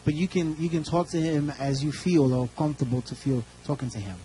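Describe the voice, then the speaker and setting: Church interpreter, man, relays the preacher phrase by phrase with restarts, slightly high voice, faint hum. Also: mellow. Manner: quick, restarting, echoes the speaker phrase by phrase. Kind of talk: live interpreting of a sermon.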